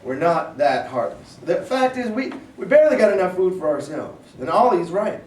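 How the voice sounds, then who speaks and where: A man plays a gruff, decent old-time army sergeant in a stage reading. The folksy voice sits a bit high and swings widely in pitch, heard from a distance in an echoey room. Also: big pitch swings, darkish tone. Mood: firm. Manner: folksy rural speech with halting starts. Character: gruff but decent male Confederate sergeant and farmer. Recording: echoey room from far off, faint noise.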